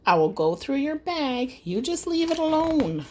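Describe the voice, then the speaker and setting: A stern storybook mother, put on by a woman reader. Her voice is high and swings widely in pitch. She gives firm, commanding orders to her child. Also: very animated, a little slow, darkish tone, slight background noise. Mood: stern warning. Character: stern warning mother of a young boy. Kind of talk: storybook character voice.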